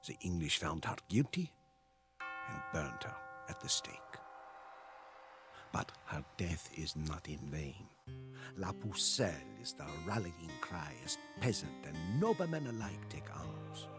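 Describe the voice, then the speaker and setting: An actor playing a weary, devoted French soldier, narrating a war journal for a game in a solemn, dramatic, gravelly voice. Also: male voice, low voice, sing-song. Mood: mournful, defiant. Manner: solemn, dramatic, measured delivery. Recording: loud music under the voice.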